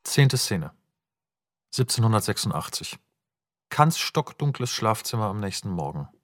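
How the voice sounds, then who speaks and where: A man calmly reading stage directions as narrator of a radio play. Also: on the low side, unhurried, no echo, studio quality. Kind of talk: scripted narration.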